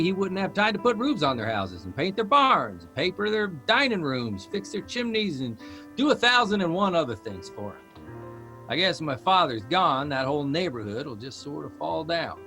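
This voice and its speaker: An actor playing an earnest, worried grown son in a naturalistic audio drama, halting and exasperated but affectionate. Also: male voice, on the high side, sing-song, sharp tone, full character voice. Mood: wry, fond. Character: earnest grown son, a newspaper writer, worried about his aging father. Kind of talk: naturalistic audio drama acting at a live reading. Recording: music behind a live stage reading.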